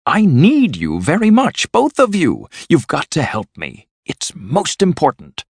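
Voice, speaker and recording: A man voicing a boastful, excitable, rich toad from a storybook, gushing and exclaiming as he talks on. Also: lowish voice, slow, husky, no room sound, heavily acted. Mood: excited, pleading. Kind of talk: storybook character voice.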